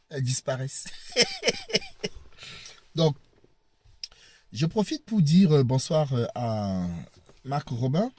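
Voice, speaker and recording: Man, hoarse from a cold, slow halting vlog delivery, animated pitch. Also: no echo. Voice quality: husky. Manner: halting, repeats words, laughs often. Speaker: video blogger. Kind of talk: casual vlog to viewers. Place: in a parked car.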